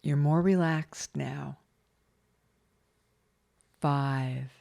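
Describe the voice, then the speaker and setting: A woman leading a scripted guided meditation in a calm, soothing, measured way with long pauses. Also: deep voice, creaky.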